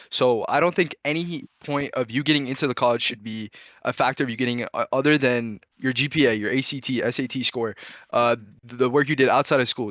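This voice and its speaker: Fast-talking slangy guy, dull lo-fi mic, dry sound. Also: medium pitch, fairly bright tone, no room sound. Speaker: debate participant. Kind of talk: casual debate on stream.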